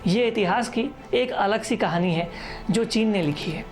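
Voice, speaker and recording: A woman's voice: mid-range voice, measured pace. A woman reading a scripted news explainer as a narrator. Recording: voice-over with music underneath. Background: background music.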